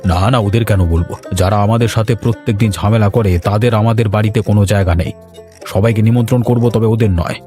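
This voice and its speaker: A man's voice: fairly low pitch, steady tone, quick pace, mellow, soft background music, close to an everyday voice. A man playing a proud, cheerful middle-aged village father in a cartoon, plainly telling his family what to do. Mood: firm. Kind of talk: Bengali moral-story cartoon dubbing.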